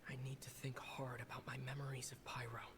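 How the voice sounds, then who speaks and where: A man voicing a calm, thoughtful young heroic traveler in a video game, sincere and reflective. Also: mid-range voice, brisk, noisy background, lightly acted. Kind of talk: scripted game dialogue.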